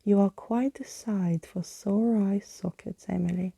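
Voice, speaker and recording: Woman reading as old male skeleton, storybook character, florid, wistful, gleeful, very mellow, dry close sound. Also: mid-range voice, steady tone, a little slow, vocal fry, husky, no echo. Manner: flowery old-fashioned exclamations, florid speech.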